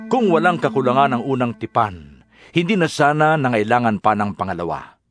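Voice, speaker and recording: A man with a Filipino accent narrating scripture from a script in a measured, formal manner. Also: mid-range voice, sing-song, no room sound. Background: music.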